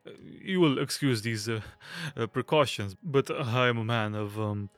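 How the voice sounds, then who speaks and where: Casting: male actor as fussy aesthete gentleman, stammering and nervous, mid-range voice, close dry sound, audio drama. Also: mid-range voice, moderate pace, no echo, lightly acted. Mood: nervous, apologetic. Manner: hesitant, stammering, nervous giggles and throat-clearing.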